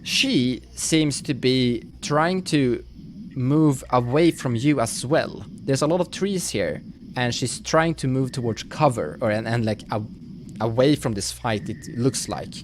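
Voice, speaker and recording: Guy game mastering a fantasy rpg, thinks out loud, medium pitch, bit of noise. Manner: halting, thinking aloud as they describe. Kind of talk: improvised narration of a tabletop roleplaying game. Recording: faint noise, live stream audio.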